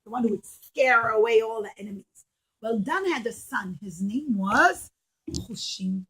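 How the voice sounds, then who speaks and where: A woman teaching young children a religious story in an expressive way, acting out the dialogue and putting questions to her listeners. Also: fairly high pitch, unhurried, slightly rough, poor audio. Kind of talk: storytelling puppet show lesson for young children.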